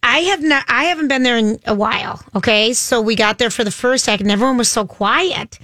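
A woman co-hosting a radio show, telling long rambling stories in a chatty, loud-voiced way. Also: fairly high pitch, fairly quick, no echo. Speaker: radio co-host. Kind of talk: casual radio chat. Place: radio studio.

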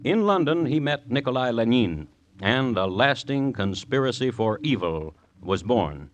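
A man playing a droll crime connoisseur, narrating deliberately as host of an old radio show. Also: medium pitch, very animated. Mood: dry, deliberate. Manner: dry, deliberate, wry. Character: droll, morbid male crime connoisseur and storyteller. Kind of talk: 1950s radio scripted narration.

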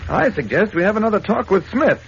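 A man's voice: sits high, sing-song, brisk, vocal fry, a bit bright, full character voice. An actor playing a worried, persistent man in a 1940s radio drama, speaking with insistent urgency. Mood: worried, urgent. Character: worried, persistent man in his office guise. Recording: muffled, hissy old radio recording.

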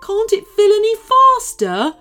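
A woman puts on a worried sea creature, a shark cursed to live as a snake, with a high, lively, fretful voice. He frets and sighs through each line, a storybook figure over soft music. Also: a little slow. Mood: anxious. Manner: fretful, nervous, then relieved and friendly. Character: anxious cursed male shark, once a snake. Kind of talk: storybook character voice.

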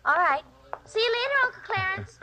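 A woman's voice: extremely high pitch, very animated, brisk, pushed and bright, heavily acted. An actress playing a chatty, innocently frank little girl with a childlike manner in a 1940s radio drama. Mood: curious, frank. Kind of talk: 1940s radio suspense acting. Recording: faintly noisy 1940s radio recording.

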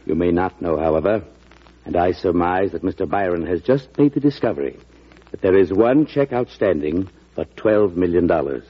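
A low, husky, assured banker. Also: male voice, animated, moderate pace. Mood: grave, firm. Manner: precise, formal, emphatic. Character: assured, hard-bargaining male banker. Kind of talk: old-time radio drama acting. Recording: muffled vintage radio recording.

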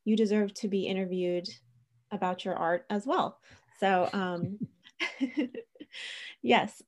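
A woman's voice: medium pitch, a little mellow. A woman hosting an art podcast, talking casually and enthusiastically with lots of fillers. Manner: enthusiastic, filler-heavy, rambling. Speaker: podcast host. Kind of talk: casual podcast intro and interview.

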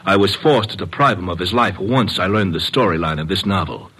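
An actor playing a polite but cold-blooded blackmailing murderer in a 1950s radio crime drama, coldly apologetic and deliberate. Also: male voice, sits low, pushed and bright, breathy edge, full character voice. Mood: cold, controlled. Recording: vintage radio drama recording.

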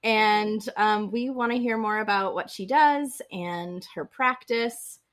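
A woman's voice: medium pitch, slow. A woman hosting a podcast, upbeat and welcoming as she introduces her guest. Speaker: podcast host.

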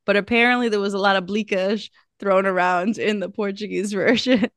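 A woman's voice: mid-range voice, steady tone, a little fast, no room sound, clean studio sound. A young woman co-hosting a podcast, chatting casually with many fillers and restarts. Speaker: podcast co-host. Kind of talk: casual chat.

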